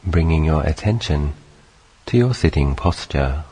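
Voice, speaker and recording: A man leading a guided meditation, speaking calmly in short, unhurried phrases with long pauses between them. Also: very deep voice, slightly rough. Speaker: meditation teacher.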